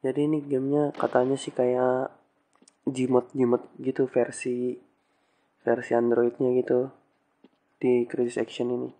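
A man's voice: mid-range voice, completely flat delivery, measured pace, long pauses, warm tone. A young man with a stuffy nose from a cold, giving casual, slangy game commentary with English exclamations. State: has a cold. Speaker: gaming channel host.

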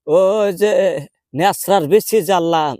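A man's voice: high voice, a little slow, darkish tone, dry recording, studio quality. A man voicing a poor, complaining, argumentative old village grandmother in a rural Bangladeshi dialect for a comic prank call.

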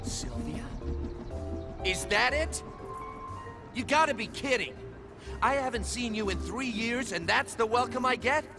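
A man's voice: very high voice, expressive, measured pace, long pauses, music in the background, exaggerated voice. A man playing a brash, crude young assassin in a game cutscene, cocky and exaggerated. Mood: indignant. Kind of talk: video game cutscene acting.

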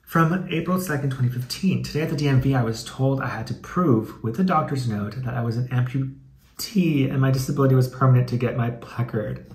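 A man's voice: medium pitch, a little fast, darkish tone, roomy sound, low-quality recording. A man doing a casual, comedic dramatic reading with commentary, in a sassy, campy, slangy way with some swearing. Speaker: YouTube host.

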